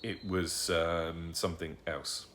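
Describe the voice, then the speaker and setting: A man's voice: deep voice, flat delivery, takes it slowly, fairly bright tone. A man voicing a cheeky, joking father who plays innocent in an audiobook. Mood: mock innocent. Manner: teasing, mock-innocent.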